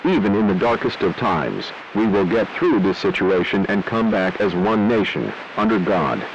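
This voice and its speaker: Male actor as US president: low, solemn, formal emergency address, muffled broadcast sound. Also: animated, normal speed. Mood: grave, formal. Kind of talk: scripted emergency broadcast announcement. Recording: dull lo-fi radio broadcast, loud hiss.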